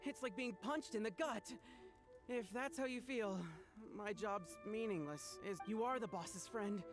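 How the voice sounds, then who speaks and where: An actor playing an earnest, theatrical young festival hype-man in animated video game dialogue. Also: high-pitched, a little slow. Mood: dejected, pleading. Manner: theatrical, over-enthusiastic. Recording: game audio with music.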